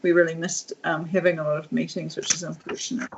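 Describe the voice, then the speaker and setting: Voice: mid-range voice, little pitch movement, quick pace, vocal fry, noisy background. A speaker on a livestream, earnest and rambling with many ums. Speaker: guest on a livestream. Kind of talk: casual livestream chat. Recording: dull lo-fi stream audio.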